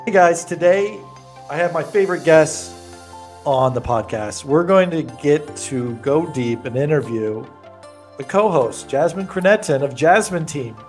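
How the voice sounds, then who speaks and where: A man's voice: a little high, animated, unhurried, darkish tone, soft background music. American man hosting a casual interview. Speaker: podcast host, interviewer. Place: indoor room with bookshelves, on a video call. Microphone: desk microphone close to the mouth.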